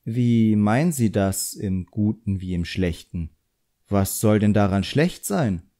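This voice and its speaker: A man's voice: fairly low pitch, lively intonation, slow pace, dark tone. A man voicing a proud, earnest boy apprentice magician in an audiobook, naive and easily indignant. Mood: puzzled, curious.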